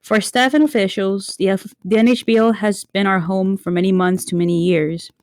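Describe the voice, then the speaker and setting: A woman's voice: medium pitch, fairly even intonation, a little mellow, dry recording. Woman with a murky, under-the-weather voice. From Mexico. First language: Spanish. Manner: halting, with many fillers and apologetic restarts. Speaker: league referee giving a speech. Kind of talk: prepared speech to an online community.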